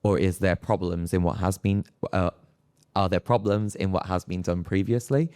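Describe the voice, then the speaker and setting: A man's voice: deep voice, fairly even intonation, normal speed, darkish tone, clear, resonant tone, no room sound, clean studio sound. A man speaking as an academic panelist in a live discussion, phrasing his thoughts in a hesitant, reflective way. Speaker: panelist, psychology lecturer.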